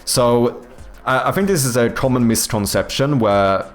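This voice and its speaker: A Swedish man, a native Swedish speaker, chatting casually on a livestream and thinking out loud, with many fillers and restarts. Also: mid-range voice, normal speed, soft background music. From Sweden. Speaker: game developer streamer. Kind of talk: casual livestream chat answering viewers.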